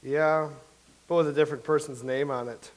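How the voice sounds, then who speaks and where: A man's voice: on the high side, steady tone, normal speed, natural voice, rough recording. A man reading the part of a wry, quizzical playwright. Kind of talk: staged reading.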